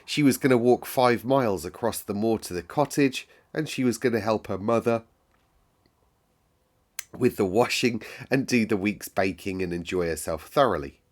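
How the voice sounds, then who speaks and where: A man narrating a classic children's story in an expressive read-aloud style. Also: lowish voice, normal speed. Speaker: storyteller, host.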